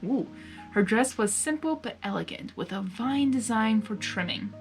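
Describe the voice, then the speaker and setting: A woman reading fan fiction aloud with giggly, excitable asides. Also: on the high side, moderate pace, faint music behind. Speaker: fan fiction reader and channel host. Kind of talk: animated blind reading of a story with casual asides.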